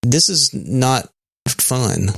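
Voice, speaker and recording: A man's voice: mid-range voice, animated, very slow, vocal fry, fairly bright tone, dry recording. A man co-hosting a podcast in casual chat, talking through technical details with dry humor. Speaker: podcast co-host.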